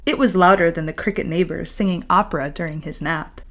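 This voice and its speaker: A woman children's author reading their own picture book aloud and chatting to young listeners in an expressive, careful storytelling manner. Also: on the low side, brisk.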